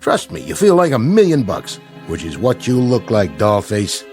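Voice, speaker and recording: A man's voice: mid-range voice, wildly swooping pitch, normal speed, darkish tone, faint music behind. Slick, swooping male showbiz agent. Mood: breezy, flattering. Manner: breezy, slangy, coaxing. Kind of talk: radio drama acting.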